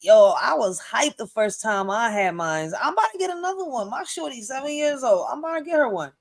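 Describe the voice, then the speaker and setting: Thirtysomething woman hyped up reacting to a clip, slangy, kinda high voice. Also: brisk. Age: 30s. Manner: slangy, excitable, repeats herself. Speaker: reaction video host. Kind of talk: casual reaction commentary.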